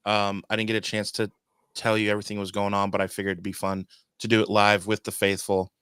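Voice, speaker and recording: Smooth low-voiced man, upbeat and loose. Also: completely flat delivery, fast, a bit bright, dry recording. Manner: upbeat, loose, laughing, lots of ums. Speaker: podcast host. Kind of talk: casual sign-off.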